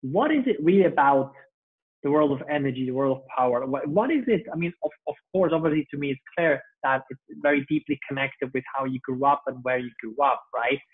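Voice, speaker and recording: A man's voice: medium pitch, brisk, slightly rough. A man hosting an interview show over a video call, enthusiastic, with long rambling questions full of fillers. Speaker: podcast host. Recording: thin phone-like video call audio.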